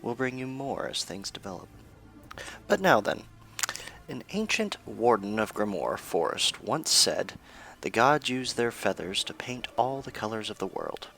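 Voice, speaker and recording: A man's voice: lowish voice, very animated, a little slow, vocal fry, fairly bright tone, slight background noise, full character voice, poor audio. A man playing an earnest news anchor in a fantasy radio news parody, with a polished, presentational delivery. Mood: earnest newsreading.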